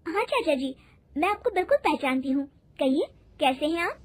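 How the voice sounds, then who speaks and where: A woman's voice: sits high, lively intonation, moderate pace, no echo, pitched above the performer's own voice. A woman voicing a polite, hesitant young daughter-in-law with a deferential manner in a moral story. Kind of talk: moral story character acting.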